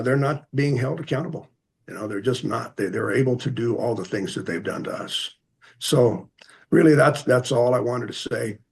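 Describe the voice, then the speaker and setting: Low gravelly american guy giving public comment, hesitant, plain-spoken, kinda earnest. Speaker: member of the public addressing a commission. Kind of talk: halting public comment at a hearing.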